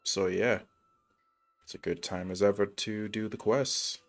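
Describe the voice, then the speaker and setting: Male game streamer, lowish pitch, casual rambling slangy talk, music underneath. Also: steady tone, unhurried, creaky. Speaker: live streamer. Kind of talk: casual gaming livestream chat.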